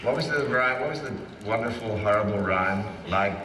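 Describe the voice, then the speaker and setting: A man speaking as a voice actor in casual interview chat, halting and jocular. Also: lowish voice. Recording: echoey room, distant mic, background noise.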